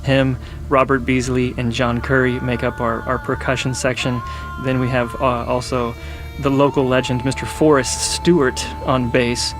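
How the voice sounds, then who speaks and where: A man from Missouri, a bandleader and guitarist, answering interview questions casually with many pauses and uhs. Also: mid-range voice, little pitch movement. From Missouri, United States. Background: band music under the voice.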